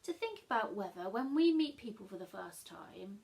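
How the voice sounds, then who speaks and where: A woman reading a storybook aloud to children as a teacher would, animated and emphatic, talking directly to young listeners. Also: mid-range voice, normal speed, creaky.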